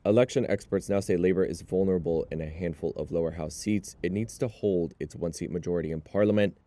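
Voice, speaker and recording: A man narrating a news report in a measured, even delivery. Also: sits low.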